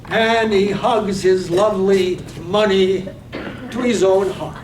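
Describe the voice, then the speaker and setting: A man playing the lyrical, measured narrator in a staged reading of a poetic radio drama. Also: high-pitched, fairly even intonation. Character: lyrical, measured storyteller of a night-time town. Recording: roomy, distant mic, faint noise.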